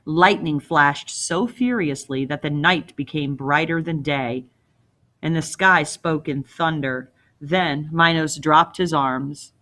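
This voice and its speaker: Lowish-voiced woman reading a story aloud. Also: takes it slowly, a bit bright. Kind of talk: expressive read-aloud with casual asides.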